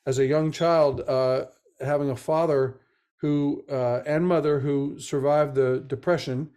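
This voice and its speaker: An older American man, sixty or above, giving semi-formal remarks as a panel moderator in a measured, deliberate way. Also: on the high side, fairly even intonation, warm tone, clean tone. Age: 60s or older. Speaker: moderator, retired army general. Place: video call webinar.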